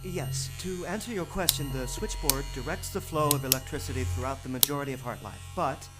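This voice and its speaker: An actor playing a timid, overworked power operator in an audio drama, stammering, nervous and apologetic. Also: male voice, medium pitch, brisk, loud music behind the voice, lightly acted. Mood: nervous, deferential. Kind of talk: theatrical audio drama acting.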